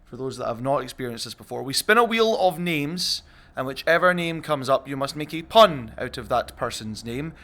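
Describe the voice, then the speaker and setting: A man's voice: medium pitch, normal speed. A man hosting a podcast party game in a playful, casual, bantering way. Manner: playful, animated. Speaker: podcast host and game presenter.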